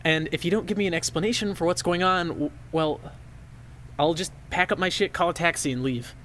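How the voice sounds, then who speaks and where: A man's voice: a little high, brisk, fairly bright tone, a little hiss or hum, natural voice. A man playing a nervous, rattled young archivist. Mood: anxious. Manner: anxious, defensive. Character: nervous, agitated male archivist. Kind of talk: audio drama acting.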